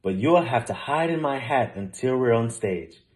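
A man's voice: mid-range voice, expressive, normal speed, close to an everyday voice. A man playing a hapless, earnest storybook magician. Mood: amazed. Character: hapless, unsure male stage magician.

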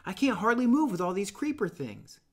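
Storybook role: nervous, chatty schoolboy castaway played by a man, pleading and lively in pitch. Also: brisk, exaggerated voice. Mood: anxious.

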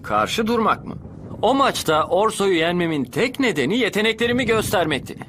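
High, lively-toned cool teenage rival. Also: male voice, a little fast, slight background noise. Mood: confident, cool. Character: cool, self-assured, mysterious teenage male rival. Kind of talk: dubbed anime acting.

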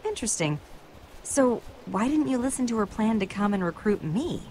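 A woman's voice: a little high, big pitch swings, moderate pace, a little mellow, slight background noise. A woman playing a self-assured, needling adventurer in a video game, dry and sardonic with awkward asides. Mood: wry.

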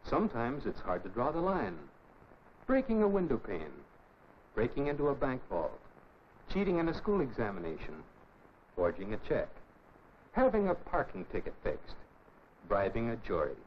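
A middle-aged American mentor in a 1950s educational film. He is firm and fair, lecturing with varied, lively intonation. The old soundtrack is dull, with some steady noise. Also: medium pitch. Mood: stern, lecturing. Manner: measured, lecturing.

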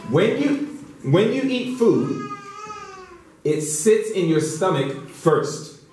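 A man's voice: high voice, big pitch swings, slow, a little mellow, faint music behind. Middle aged guy teaching health in a really echoey hall, mic far off, emphatic, repeats himself. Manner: emphatic, instructive, repeats key phrases. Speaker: health lecturer at a church. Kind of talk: public health lecture with rhetorical questions. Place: indoor church hall, speaking to an audience. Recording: very echoey hall, picked up from afar.

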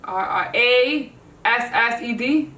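A woman's voice: fairly high pitch, slow pace, pushed and bright. A woman from the Dominican Republic spelling words among friends, joking and brash, swearing when she gets stuck. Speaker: spelling challenge contestant. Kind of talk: casual chat among friends. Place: small room with a couch. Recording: roomy echo, distant mic, faint noise.

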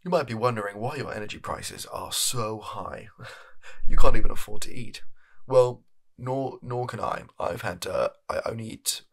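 A young man acts out an evasive British male energy company boss in a comedy monologue. His voice is fairly low and husky, yet bright and close. He speaks softly and haltingly, with shameless deadpan self-justification. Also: heavily acted. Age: young adult. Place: small home bedroom studio.

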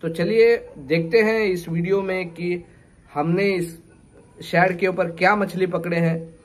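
A man's voice: sits high, steady tone, measured pace. A man in his thirties, a fishing tackle shop owner, talking casually to camera on a house porch and mixing English phrases into his talk. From Maharashtra, India. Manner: chatty, keeps calling viewers "friends", mixes English phrases in. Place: covered porch of a house, daytime.

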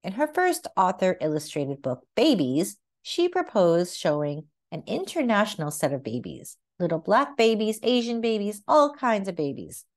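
A woman reading a children's book aloud as narrator, in a measured and expressive way. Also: mid-range voice. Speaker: read-aloud host, narrator.

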